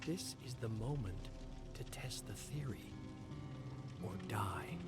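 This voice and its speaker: A man streaming, reading game lore aloud in a slow, deliberate storytelling delivery. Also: on the low side, lively intonation. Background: clear background music.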